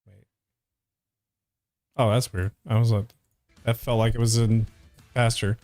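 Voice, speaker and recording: A man's voice: medium pitch, flat delivery, measured pace, darkish tone, no room sound, soft background music. A man giving casual, hesitant stream commentary, thinking aloud as he reviews a dub. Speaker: voice actor reviewing a fandub.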